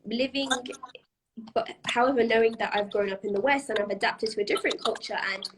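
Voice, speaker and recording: A woman's voice: fairly high pitch, a little fast, noisy background. A young woman from Iran, a podcast guest, talking casually with lots of fillers, restarts and laughter. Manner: chatty, laughs often, many fillers and restarts. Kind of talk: casual interview chat.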